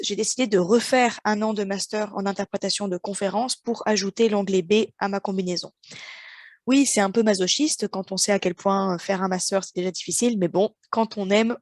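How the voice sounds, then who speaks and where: A woman's voice: medium pitch, dry recording, clean studio sound. Young Frenchwoman giving a practice speech. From France. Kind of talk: prepared speech for interpreting practice.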